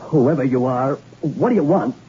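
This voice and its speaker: A man's voice: mid-range voice, normal speed, mellow, natural voice. An actor playing a hard-boiled male investigator in a 1960s radio drama, speaking in a clipped, dry, persistent way. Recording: dull, faintly hissy old radio recording.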